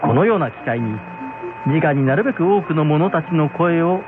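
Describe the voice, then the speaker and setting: A man's voice: a little high, expressive, moderate pace. A middle-aged man narrating a scripted folk tale as storyteller and host. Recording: muffled phone-like sound, background music.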